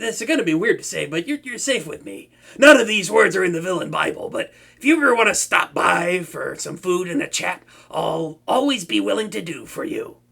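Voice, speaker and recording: A gentle young supervillain, voiced by a man who stumbles over his words in this audio roleplay. His voice sits high and swings widely. It has a gravelly edge. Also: exaggerated voice. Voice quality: stammering, nervous. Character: shy, soft-hearted young male supervillain.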